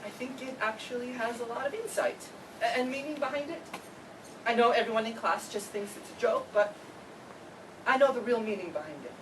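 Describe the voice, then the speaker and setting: A woman's voice: on the high side, a little fast, natural voice. Woman acting an awkward, earnest classmate, distant mic. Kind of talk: amateur staged play reading. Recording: distant mic, clearly noisy live room.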